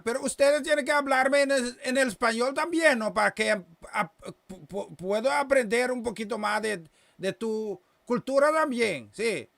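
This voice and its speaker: A man's voice: extremely high pitch, vocal fry, a bit bright, dry recording. A man hosting a gospel program, chatting casually, sending blessings to listeners by name, often stumbling and repeating words as he switches languages. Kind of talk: casual live-stream chat with greetings.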